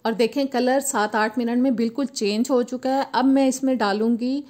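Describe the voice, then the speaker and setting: A woman, host of a cooking channel, calmly explaining a recipe step by step in voice-over. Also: a little high, moderate pace. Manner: steady step-by-step instructional tone.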